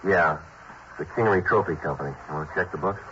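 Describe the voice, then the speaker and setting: An actor playing a terse male police detective in a 1950s radio drama, narrating his case in a clipped, flat, matter-of-fact way. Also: deep voice, fast. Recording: muffled old radio broadcast with hiss.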